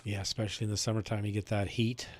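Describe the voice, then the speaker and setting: A man with a fairly low voice talks casually over amateur radio. His delivery is folksy and unhurried, like an operator passing time with a friend on the air. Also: flat delivery, very noisy.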